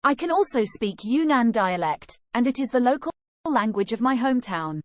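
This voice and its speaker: A woman's voice: on the high side, sing-song, normal speed, no room sound. A woman reading a scripted language lesson aloud, moving from sample questions and answers to study tips.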